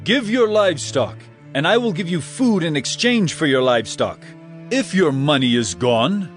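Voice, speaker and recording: Commanding male governor, lively intonation, fairly high voice, biblical radio drama. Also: moderate pace, faint music behind. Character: authoritative male governor of ancient Egypt. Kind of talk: audio drama Bible acting.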